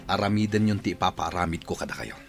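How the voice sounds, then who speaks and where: An actor playing a scheming, envious, rich village boss in an Ilocano radio drama, irritable and domineering, with an Ilocano accent. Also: male voice, deep voice, quick pace, creaky, over music. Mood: menacing insistence.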